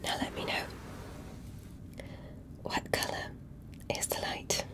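A woman in an ASMR medical roleplay, speaking in a breathy whisper as a careful examiner who gently gives step-by-step instructions and reassurance. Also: slow.

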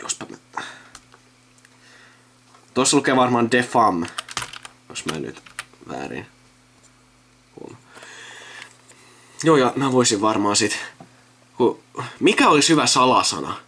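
Late-teens Finnish male, husky, animated pitch swings, casual muttered running commentary while at a computer. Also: pauses often. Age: late teens, young adult. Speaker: video maker narrating an account sign-up. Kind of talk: casual chat, reading the screen aloud. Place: indoors at a computer. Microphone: handheld camera filming a monitor.

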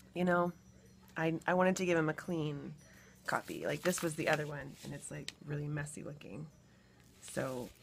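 A woman's voice: deep voice, creaky, noisy background. Chatty young woman vlogging on her phone. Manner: chatty, thinking aloud with fillers and small laughs. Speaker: family vlogger. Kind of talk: casual home-building vlog. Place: house under construction and a back porch. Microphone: phone held at arm's length.

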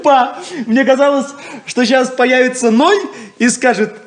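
A man playing an excitable, boastful, lovestruck younger brother in a 1970s Soviet radio play, with a teasing, drawn-out delivery. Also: very high voice. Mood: dreamy, elated.

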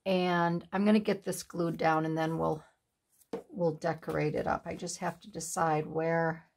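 A slow-talking woman in her sixties with a fairly low, breathy voice, sniffling a little. She chats at her craft table at home, laughing and talking to herself as she works. The sound is picked up by an overhead camera on the desk. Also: flat delivery, a little mellow. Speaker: craft channel host. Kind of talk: casual chat while making a collage. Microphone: overhead camera on the desk, hands only in frame.

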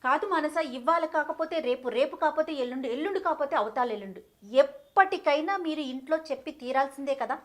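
A woman's voice: high voice, a little fast. A woman hosting an advice show, speaking conversationally in an urging, insistent manner.

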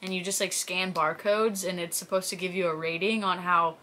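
A woman's voice: on the low side, fairly even intonation, brisk, sharp tone. A woman, chatting casually to camera and acting out the stories she tells. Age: 20s. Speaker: fitness content creator. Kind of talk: casual chat, answering viewer questions to camera. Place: small plain indoor room, seated.

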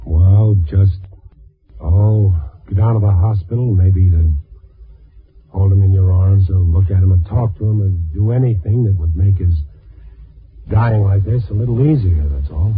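An actor playing a dogged, weary newspaper reporter with an American accent in a 1950s radio drama, clipped and hardboiled. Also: male voice, low-pitched, normal speed, faint music behind, close to an everyday voice. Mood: earnest, pressing. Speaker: lead actor and narrator. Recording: old 1950s radio broadcast, tinny band-limited.

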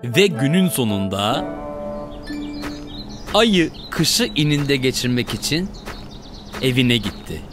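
A man's voice: medium pitch, lively intonation, slow, pauses often, music in the background. A man narrating a children's fairy tale from a script.

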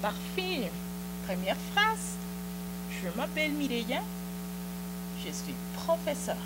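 Middle-aged Mexican woman as a patient TV French teacher, slightly high voice, studio hum behind. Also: sing-song. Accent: Mexican Spanish. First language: Spanish. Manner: patient, repeats phrases slowly and encouragingly. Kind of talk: slow drilled language lesson. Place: small TV studio set. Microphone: handheld mic. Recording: studio recording with audible mains hum. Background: mains hum.

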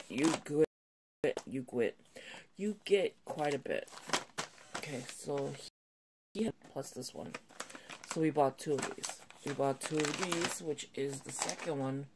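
A woman's voice: deep voice, noisy background. A woman vlogger with a slightly nasal voice from a cold, chatting casually and rambling as she shows items at a home table. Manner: rambling, self-correcting, chatty. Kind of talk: casual haul video chat.

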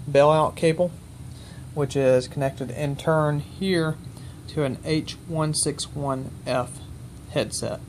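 A man's voice: a little high, a little mellow, slight background noise. A man giving an informal technical walkthrough as he demonstrates equipment, speaking in a methodical, matter-of-fact way.

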